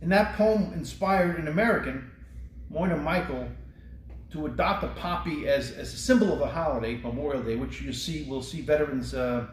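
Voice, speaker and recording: A man reading poetry aloud and briefly introducing it, with a measured, solemn delivery. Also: fairly high pitch. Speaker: librarian reading poems aloud. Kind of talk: poetry recitation with short spoken introductions. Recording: echoey room with faint background noise.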